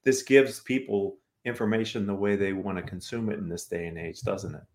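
A man's voice: fairly low pitch, steady tone, brisk, darkish tone. An American man hosting a conversational interview, reflective and inclined to sum up and check for agreement. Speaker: talk show host interviewing guests.

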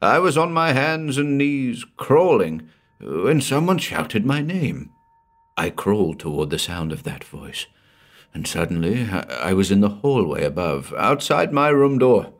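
A man voicing a big, strong man over sixty, hoarse and shaken with terror. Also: lowish voice, sing-song, measured pace. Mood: frantic. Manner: frantic, pleading.

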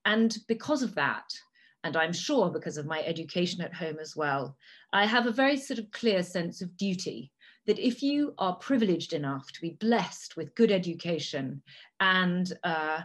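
A middle-aged woman raised in Italy, a native Italian speaker, giving a measured talk with frequent fillers. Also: on the low side, lively intonation. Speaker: guest speaker. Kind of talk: prepared talk.

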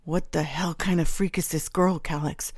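A woman's voice: sits low, fairly even intonation, moderate pace, no room sound, clean studio sound. A woman voicing a fearful, argumentative male subordinate and father in an audiobook, blunt and insistent. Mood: appalled.

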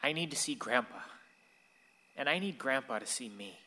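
A man's voice: mid-range voice, animated, normal speed, bright, forceful tone, long reverb tail. A young-sounding male actor playing a solemn, pleading teenage grandson who is a ghost in a radio play.

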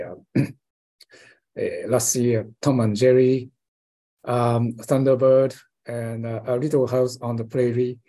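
A man's voice: medium pitch, warm tone. An older man with a Japanese accent, a professor answering interview questions hesitantly, with many fillers and restarts. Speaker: guest professor.

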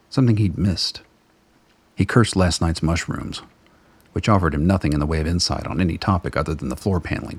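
A man narrating a scripted radio drama in a measured, wry storytelling manner. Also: sits low, creaky, husky, no echo.

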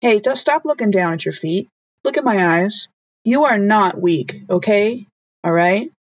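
A woman playing an earnest, protective friend in a tabletop game, talking informally in halting, emphatic bursts. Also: medium pitch, expressive, slightly rough, no room sound, lo-fi sound. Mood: encouraging. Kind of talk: improvised tabletop role-play.

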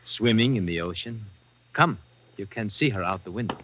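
A man's voice: lowish voice, moderate pace, highs cut off, noisy background, natural voice. An actor playing a courteous, helpful foreign man, formal and servile, in a 1950s radio adventure style. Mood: eager, reassuring.

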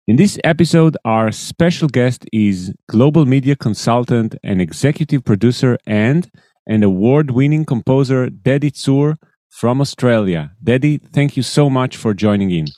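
A man's voice: mid-range voice, expressive, moderate pace, dark tone, no echo. A man hosting a music career podcast, introducing and questioning his guest in an upbeat, eager way. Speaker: podcast host. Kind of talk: podcast interview.

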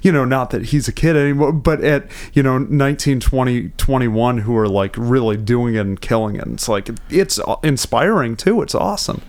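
A young man in his twenties chatting easily about his life as a musician. He rambles along in a relaxed way, full of fillers and side thoughts. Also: mid-range voice, moderate pace, few pauses. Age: 20s. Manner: rambling, laid-back, lots of fillers. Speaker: musician guest. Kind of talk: casual conversation.

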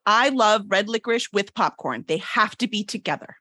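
A woman's voice: mid-range voice, lively intonation, normal speed, pushed and bright, dry recording, studio quality. A woman chatting casually as a guest on a podcast. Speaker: podcast guest, book publisher. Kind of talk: casual chat.

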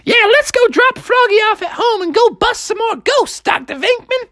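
A woman voicing a feisty, bossy mother frog in an excitable, sassy cartoon style for a story. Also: very high-pitched, moderate pace, no room sound, clean studio sound, pitched above the performer's own voice. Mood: chirpy, sassy.

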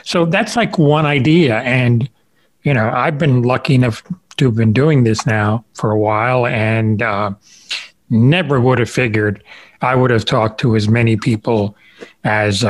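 A man hosting a podcast interview in a casual, rambling way, often halting as he thinks aloud. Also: mid-range voice. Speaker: podcast host interviewing a guest.

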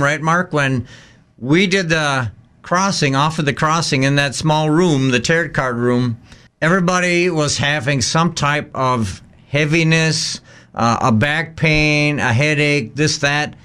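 A man speaks as a guest in a casual interview. His voice is smooth and clear, sitting slightly high. He explains and recounts things in plain conversational language. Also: unhurried, pushed and bright. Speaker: studio guest, paranormal investigator.

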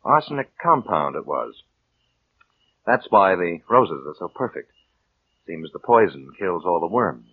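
An actor playing a flattering, scheming suitor with an ingratiating, insinuating manner in mid-century radio drama. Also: male voice, low voice, measured pace, pauses often, breathy edge, phone-quality sound, exaggerated voice. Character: smooth-talking, scheming, flattering suitor and weak writer. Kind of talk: 1940s-50s radio drama acting. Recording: band-limited old radio broadcast.

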